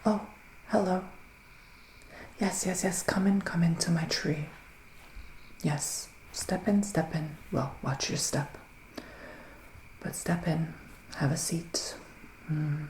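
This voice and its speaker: A man playing a gentle, theatrical male witch psychic in an ASMR roleplay, speaking in a breathy, sighing, partly whispered hush. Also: on the high side, takes it slowly, long pauses, roomy sound, rough recording. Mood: welcoming, hushed. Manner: breathy, sighing, hushed, dramatic. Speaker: ASMR performer.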